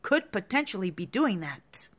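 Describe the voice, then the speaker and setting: Fifties woman ranting at the camera, voice all over the place, sharp bright sound. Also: medium pitch, very animated, normal speed. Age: 50s. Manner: agitated, repetitive ranting with profanity. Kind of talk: angry monologue to camera.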